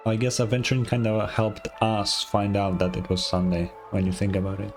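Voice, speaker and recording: A man's voice: on the low side, flat delivery, brisk, over music. A man giving casual, informal live game commentary as a streamer, often incredulous and sweary.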